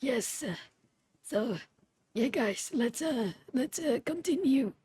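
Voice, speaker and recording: A woman giving casual live reaction commentary as a streamer, haltingly, often repeating words and phrases. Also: sits high, animated, warm tone, husky, no echo. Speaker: streamer reacting to game trailers.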